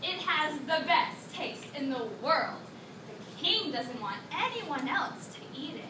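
A woman playing a small, quick-witted, tricky female mouse deer in children's readers theatre, with exaggerated mock innocence. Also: high-pitched, lively intonation. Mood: boastful. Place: classroom, indoors. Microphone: distant camera. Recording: echoey classroom from far, noisy.

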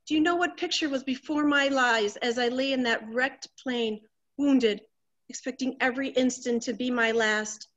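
A woman's voice: sits high, moderate pace, fairly bright tone, muffled recording. A woman playing a vain, anxious middle-aged wife in a stage comedy, melodramatic and fluttery. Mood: sentimental, flustered. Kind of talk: theatrical stage comedy acting.

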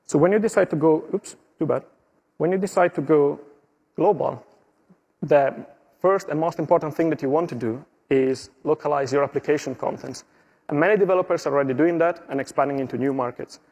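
A man's voice: fairly high pitch, fairly quick, slightly rough. Italian-accented man presenting at a conference. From Italy. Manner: explanatory, steady walkthrough with small slips and fillers. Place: conference stage.

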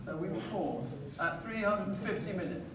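A man auctioneer calling bids live in a sale room, brisk and repetitive, with light jokes between bids. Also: high-pitched, background noise. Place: large auction sale room, heard from the audience. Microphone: far phone camera in the crowd. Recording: distant echoey sale room from a phone in the crowd.

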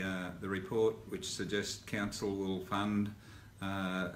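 An older man with an Australian accent, giving a formal spoken update to residents, measured and halting with many "uh" fillers and restarts. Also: on the low side, fairly bright tone. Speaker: mayor giving a council update. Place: indoor office in front of a backdrop. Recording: roomy sound with faint ambient hiss.

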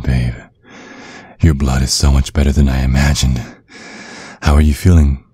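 A man playing a tender, protective vampire boyfriend in an ASMR roleplay, speaking in a soothing, coaxing, affectionate way with a husky voice. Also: a bass voice, mellow, no echo. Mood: savoring, attentive. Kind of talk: ASMR roleplay, intimate soft talk.

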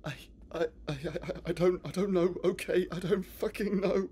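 A man voicing a panicky young man trapped underground in a horror game, halting, stammering and pleading. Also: sits high, fairly even intonation, mellow, husky. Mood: distressed.

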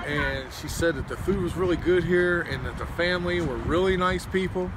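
A man's voice: on the high side, normal speed. A man, chatting to camera in a folksy, enthusiastic way as a food vlog host. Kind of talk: casual vlog chat to camera. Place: outside a storefront by a road, then inside a small restaurant. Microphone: camera or phone a short distance away. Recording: noisy handheld recording, traffic and restaurant ambience.

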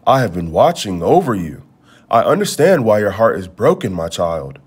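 A man reads as a kindly female spirit from a storybook. The voice is gentle and solemn, consoling in tone, with a lively rise and fall. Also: fairly low pitch, normal speed, husky, exaggerated voice. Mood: gentle reassurance.

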